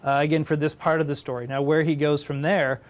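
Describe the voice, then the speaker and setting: A man's voice: a little high, flat delivery, a little fast. A man speaking as a developer in a reflective interview. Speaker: franchise developer. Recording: music playing behind.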